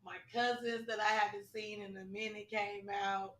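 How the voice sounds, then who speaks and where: Hoarse woman co-host, bright tone, chatty and slangy, echoey room, mic a bit far. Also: medium pitch, flat delivery, moderate pace. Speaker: podcast co-host. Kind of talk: casual chat. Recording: roomy, distant mic.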